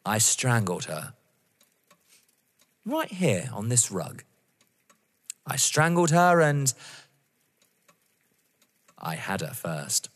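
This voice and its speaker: Audio drama: wounded, menacing avenger, played by a man, slow and halting, dramatic, set in a reverberant hall. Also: medium pitch, wildly swooping pitch, fairly bright tone, exaggerated voice. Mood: taunting, unhinged. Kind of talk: full-cast audio drama acting of a stage play.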